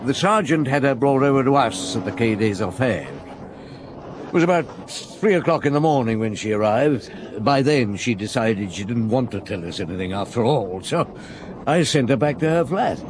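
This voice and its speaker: A man's voice: medium pitch, animated, moderate pace, noisy background, natural voice. An actor playing a seasoned police chief inspector who narrates his case in a dry, understated way in a radio drama. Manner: dry, wry, understated storytelling. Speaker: narrator and lead detective.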